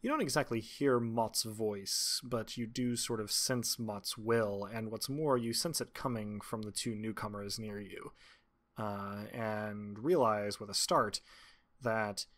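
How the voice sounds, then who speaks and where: A man's voice: lowish voice, steady tone, takes it slowly, fairly bright tone. A man narrating a tabletop roleplaying game as the game master.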